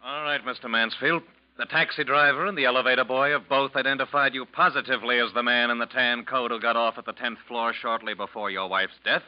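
An actor playing a stern, sarcastic police detective with a curt, formal, pressing delivery in an old-time radio drama. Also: male voice, mid-range voice, fairly even intonation, a little fast, close to an everyday voice. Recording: muffled old radio recording.

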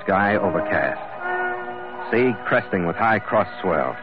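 A man's voice: sits low, lively intonation, takes it slowly. A man playing a seasoned, wry, easygoing sea captain who narrates a vintage radio drama. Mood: terse, measured. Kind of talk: 1940s radio drama, scripted first-person narration. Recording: muffled vintage radio recording, loud music.

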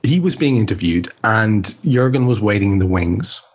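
A man hosting a casual football podcast, wordy and opinionated, with expressive turns of phrase and a husky voice. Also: lowish voice, normal speed, dull audio. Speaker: podcast host.

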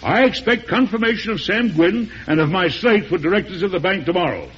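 A man acting a stubborn, commanding American president in mid-century radio drama, with a husky voice and blunt, authoritative delivery. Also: sits high, expressive, fairly quick. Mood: firm, demanding. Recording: muffled old radio broadcast.

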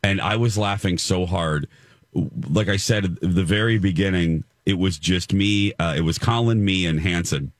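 A man co-hosting a casual chat show, telling stories in a deep voice with lots of restarts. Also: normal speed, no echo, studio quality. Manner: animated storyteller, frequent restarts. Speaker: co-host.

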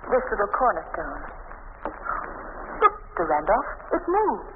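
An actress playing an anxious young heroine in an old radio thriller, earnest and melodramatic. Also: female voice, medium pitch, wildly swooping pitch, unhurried. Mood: uneasy. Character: anxious young heroine, a detective's female companion. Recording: scratchy, noisy, narrow-band old radio recording.

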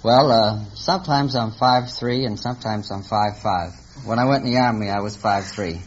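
A man's voice: fairly low pitch, moderate pace. An American man answering informally and a little hesitantly as a quiz show contestant. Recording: very noisy, dull vintage radio recording.

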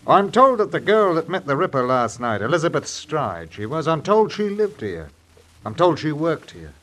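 Cocky, high-voiced London bobby. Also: male voice, very animated, normal speed, fairly bright tone. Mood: questioning. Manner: swaggering, patronising, familiar. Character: cocky, flirtatious male London police officer. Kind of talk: old-time radio drama acting.

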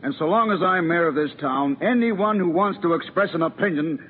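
An actor playing an upright, stubborn old prairie mayor, defiant and plain-spoken, in a 1940s radio drama. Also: male voice, a little high, fairly quick, close to an everyday voice. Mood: resolute. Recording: tinny, noisy 1940s radio recording.

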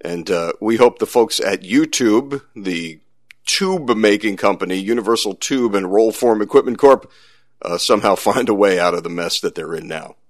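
A man's voice: lowish voice, normal speed. An American man hosting a science podcast in a conversational, wry way with dry humor. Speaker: podcast host.

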